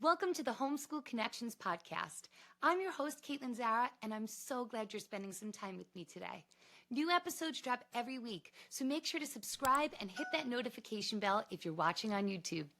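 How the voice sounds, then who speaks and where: A woman's voice: on the high side, sing-song, fairly quick, pushed and bright. A middle-aged woman hosting a podcast in a conversational, effusive and encouraging way. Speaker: podcast host.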